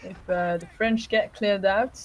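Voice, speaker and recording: A woman's voice: mid-range voice, steady tone, unhurried, slight background noise. A woman co-casting an esports match in casual live commentary, with blunt, teasing banter.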